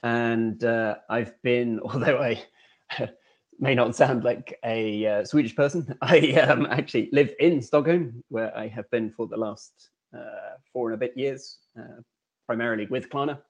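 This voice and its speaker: A man with a gravelly, creaky edge talks with easy, joking humility. His pitch sits in the middle. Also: fairly even intonation, unhurried. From England. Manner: friendly, self-deprecating, chuckling. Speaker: podcast guest, engineer. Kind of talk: casual remote conversation.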